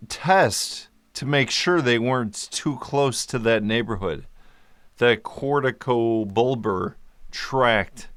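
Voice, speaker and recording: Low-voiced guy explaining stuff slowly into a mic, laid back, faint music under it. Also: fairly bright tone, clean tone, no room sound. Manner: easygoing, self-correcting, joking aside. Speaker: vlogger explaining a medical topic. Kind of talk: casual explainer to camera. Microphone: microphone in front of him.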